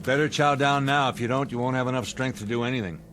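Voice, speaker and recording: An actor playing a gruff, practical spaceship commander in an audio drama, speaking in a firm, commanding way. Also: male voice, medium pitch, fast, noisy background, close to an everyday voice. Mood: irritated, stern.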